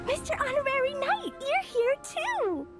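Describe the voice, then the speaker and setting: A woman voicing an excitable, sweet little girl who gushes breathlessly. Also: extremely high pitch, measured pace, heavily acted. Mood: excited. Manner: breathless, gushing. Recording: game audio with faint music.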